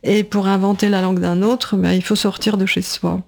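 A woman's voice: medium pitch, flat delivery, moderate pace, vocal fry, darkish tone. A woman in her sixties, a writer and translator, speaks as a guest in a radio interview, thinking aloud with many fillers and restarts. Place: radio studio.

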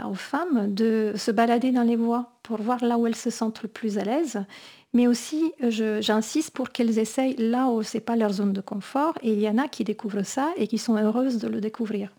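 She is a woman with a voice set a little high. She speaks as an interview guest, reflective and expressive, explaining her work as a musician in a conversational way. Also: moderate pace, vocal fry. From Italy. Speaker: interview guest, composer and choir director.

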